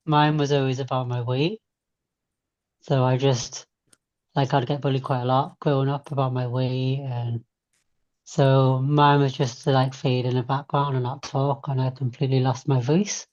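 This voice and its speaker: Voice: medium pitch, little pitch movement, moderate pace, a little mellow. A person chatting casually and candidly about their own feelings, in a reflective, conversational way. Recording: dull, lo-fi sound.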